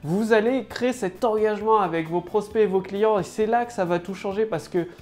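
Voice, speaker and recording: A woman's voice: medium pitch, fairly quick, a little mellow, soft background music. A woman with a head cold giving a casual marketing tutorial to camera, loose and full of fillers and restarts. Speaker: online marketing coach.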